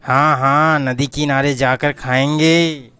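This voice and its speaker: A man's voice: medium pitch, steady tone, slow pace, sharp tone, clean tone, no room sound. A man voicing a scheming talking dog in a cartoon story, speaking in a plain, bossy way.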